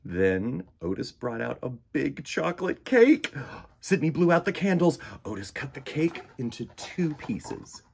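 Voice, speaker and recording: A man reading a children's picture book aloud in an expressive, dramatic way, with swells and hushes. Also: medium pitch, measured pace, creaky, slight background noise. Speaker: children's storybook reader. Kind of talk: animated read-aloud narration of a picture book.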